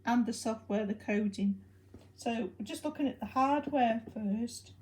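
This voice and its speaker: Female hobby presenter, slow and slightly high, informal and hesitant, faint noise behind. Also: darkish tone. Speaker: presenter of a hobby electronics project video. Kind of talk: informal unscripted demonstration.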